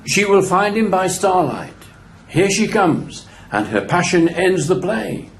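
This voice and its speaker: A man's voice: sits high, very animated, measured pace, creaky, full character voice. Wry nobleman played by a man, echoey room. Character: wry nobleman heckling a play from the audience. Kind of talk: amateur Shakespeare radio play acting.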